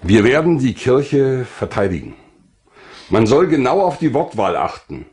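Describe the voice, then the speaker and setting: An older man narrating his own life story from a script in a measured, formal way. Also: mid-range voice, big pitch swings, darkish tone.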